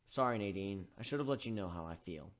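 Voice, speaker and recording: Man as a gentle young male griffin. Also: low voice, expressive, fast talker, slight background noise. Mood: apologetic.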